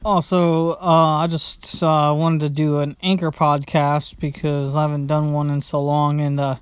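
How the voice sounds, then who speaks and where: A man's voice: a little high, level tone, a little slow, clean tone, no room sound. A young man hosting his own casual podcast from home, rambling with lots of fillers, restarts and "blah, blah, blah". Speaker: podcast host. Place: at home, sitting indoors.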